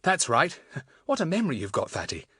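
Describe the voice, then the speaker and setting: Man acting a lively, keen schoolboy. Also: a little high, brisk, breathy edge, dry recording, studio quality, pitched above the performer's own voice, exaggerated voice. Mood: eager recounting. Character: keen, good-natured schoolboy.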